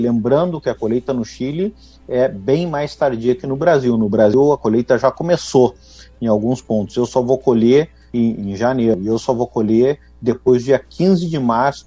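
A middle-aged Brazilian man speaks in a mellow, warm tone, measured and explanatory, often tagging his points with a quick question. The sound is dry and slightly dull, with faint music underneath. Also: medium pitch, husky, no echo. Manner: measured, explanatory, with frequent "né?" tags. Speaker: winemaker guest. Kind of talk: radio interview.

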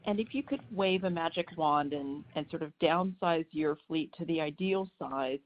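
A woman's voice: low voice, moderate pace, a little hiss or hum. A woman analyst asking a direct, polite question on an earnings call. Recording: muffled conference call line.

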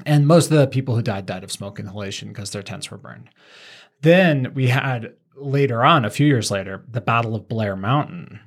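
Podcast co-host, medium-pitched voice, conversational storyteller who explains as they go. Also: moderate pace, breathy edge.

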